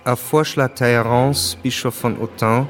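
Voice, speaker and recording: A man narrates a radio drama in a mid-range voice. His delivery is measured and matter-of-fact, with music clearly playing behind him. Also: mid-range voice, fairly even intonation, fairly bright tone.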